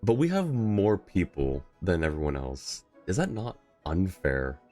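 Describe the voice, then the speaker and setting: A man's voice: low voice, very animated, measured pace, dark tone, soft background music. A man chatting casually and reacting as he livestreams a video game. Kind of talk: casual stream chat and reactions.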